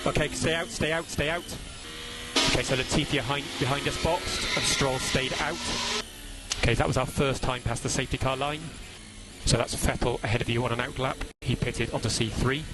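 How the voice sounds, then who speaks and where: A man's voice: medium pitch, steady tone, a little fast, loud music behind the voice, low-quality recording. A man working as a race engineer on team radio, giving clipped, repeated instructions and updates and urging his driver on.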